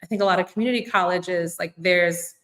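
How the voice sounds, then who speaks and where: A woman's voice: on the low side, fairly quick, fairly bright tone. A woman who leads a youth organization, talking casually in a panel discussion and thinking aloud with lots of "like" fillers.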